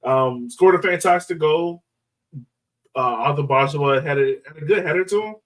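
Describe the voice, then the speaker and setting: A man's voice: on the high side, moderate pace, distant mic. Man chatting sports, slightly off mic. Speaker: soccer podcast host. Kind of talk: casual sports chat.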